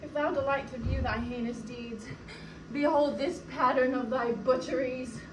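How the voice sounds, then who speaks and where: A woman's voice: sits high, fairly even intonation, natural voice. A young woman acting a grieving, vengeful widowed noblewoman in a declamatory, emotional classical Shakespearean stage style. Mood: defiant, scornful. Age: young adult. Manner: declamatory, emotional, slow deliberate delivery. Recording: roomy, distant mic, noisy room.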